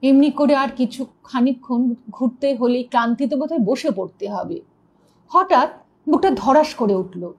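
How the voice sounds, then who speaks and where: High-pitched woman reading a ghost story. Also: moderate pace, a little mellow, slightly rough. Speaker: story reader. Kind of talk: dramatic narration of a ghost story.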